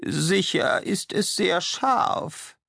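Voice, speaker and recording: A man voicing a polite, doubtful elderly widow in a measured, mild audiobook manner. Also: high voice, expressive, vocal fry, voice pushed up, heavily acted.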